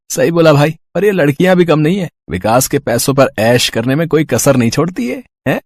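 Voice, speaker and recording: A sneering young college student in a cartoon story, acted out by a man. His husky voice is pushed higher, and he gossips in a slangy, mocking way. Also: very animated, moderate pace, dry recording, exaggerated voice. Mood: mocking, amused. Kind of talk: dramatic Hindi cartoon story dialogue.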